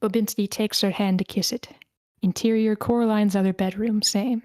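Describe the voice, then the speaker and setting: A woman's voice: medium pitch, steady tone, normal speed, dark tone, no room sound. A woman reading a screenplay's stage directions as the narrator in scripted narration.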